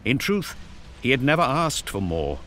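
A man's voice: medium pitch, very animated, normal speed. A man narrating an audio drama in an intense, solemn, theatrical storytelling style. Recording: loud music and battle effects behind. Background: loud music, battle sound effects.

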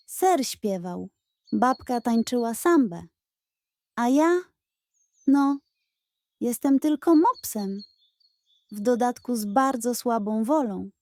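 A woman's voice: fairly high pitch, expressive, takes it slowly, long pauses, warm tone, dry recording, studio quality. A woman voicing a gluttonous, sheepish male pug who makes dramatic, pleading excuses in a children's bedtime audio story. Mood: sheepish confession.

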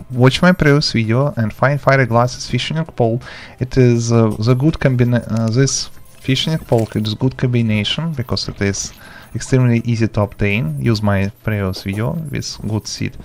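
Man giving a game tutorial. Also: medium pitch. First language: not English. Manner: halting, simple step-by-step instructions in non-native English. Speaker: gaming tutorial host. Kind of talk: informal video game tutorial commentary. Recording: music behind the voice. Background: background music.

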